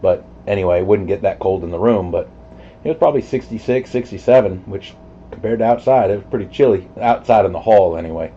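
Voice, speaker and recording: A man's voice: lowish voice, fast. A man chatting casually over CB radio in a rambling, easygoing way, with some hesitation. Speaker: CB radio operator chatting on channel. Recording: dull CB radio audio, faint noise.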